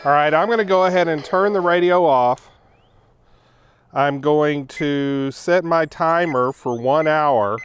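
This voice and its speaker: A man narrating a product review as its host, explaining things in a measured, methodical way. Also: a little high, clean tone, soft background music.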